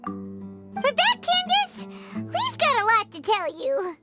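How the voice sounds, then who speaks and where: A very high, childlike fairy companion for a video game. The delivery swings widely in pitch, chirpy and excitable. Music plays clearly behind the voice. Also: female voice, takes it slowly, exaggerated voice. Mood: cheerful. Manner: refers to self in third person, excitable. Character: small floating fairy companion, female, childlike, chirpy and indignant. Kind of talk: video game dub acting.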